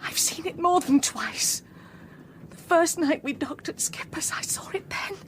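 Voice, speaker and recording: A woman acting a frightened, haunted wife in an audio drama, speaking intensely and haltingly, often close to a whisper. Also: very high-pitched, slight background noise. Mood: worried, defensive. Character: frightened, haunted wife, fixated on a phantom boat.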